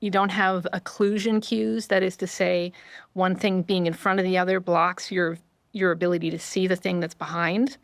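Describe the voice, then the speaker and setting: A woman's voice: lowish voice, flat delivery, moderate pace, dry recording. A middle-aged woman from rural Vermont, a scientist explaining how hearing works in a thoughtful, conversational way. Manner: explanatory, thoughtful, frequent affirming fillers. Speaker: neuroscientist guest.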